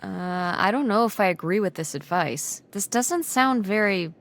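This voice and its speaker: Woman voicing a stubborn curious teen girl in a game, earnest, mid pitch. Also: animated, normal speed, close to an everyday voice. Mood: indignant. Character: teenage girl Tess, curious and headstrong. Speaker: game character. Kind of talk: voiced game dialogue.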